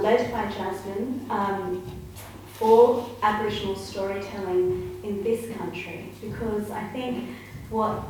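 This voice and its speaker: A woman with an Australian accent speaking on a panel as an academic researcher, articulate and earnest with soft fillers. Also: medium pitch. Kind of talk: panel discussion talk. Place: event room with audience. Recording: echoey room, mic far off, faint noise.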